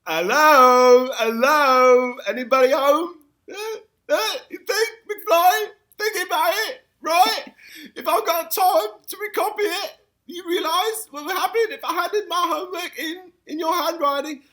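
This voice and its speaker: A woman's voice: very high-pitched, unhurried, a bit bright. A woman playing a swaggering, mocking teenage boy bully in an exaggerated comedic table read. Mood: taunting. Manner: taunting, exaggerated.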